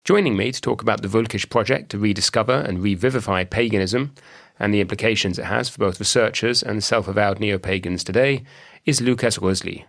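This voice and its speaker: A man with a British accent hosting an academic podcast, reading a measured scripted introduction and asking questions. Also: on the low side, vocal fry. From British Isles. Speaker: podcast host. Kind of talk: scripted intro then interview.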